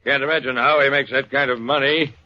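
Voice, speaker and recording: A man's voice: medium pitch, fairly even intonation, a little fast, voice pushed up, natural voice. A curt, cold company boss , as a narrator puts him on, barks in a raised male voice. He is bossy and clipped, a radio-drama figure on a dull, noisy old recording. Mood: brusque, commanding. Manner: clipped, brusque, commanding.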